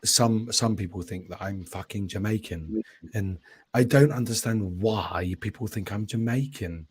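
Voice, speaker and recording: Low, sarcastic man. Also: a little slow, slightly rough, dry recording. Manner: sarcastic, mocking. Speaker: stream panelist. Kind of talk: sarcastic commentary on a live stream.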